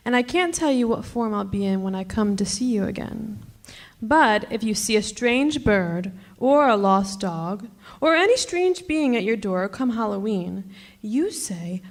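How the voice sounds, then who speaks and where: Woman acting seductive bossy witch for a radio play, highish voice, coaxing n sure of herself. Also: animated, unhurried. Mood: ominous, promising. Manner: coaxing, self-assured. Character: seductive, commanding immortal female witch. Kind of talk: stage revival of 1940s radio drama.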